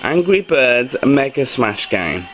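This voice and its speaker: A man's voice: medium pitch, big pitch swings, takes it slowly, fairly bright tone, soft background music. A man chatting casually to his young child, prompting with questions, as a father filming at home. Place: living room at home. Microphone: handheld camera, held by speaker. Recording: noisy home camcorder audio.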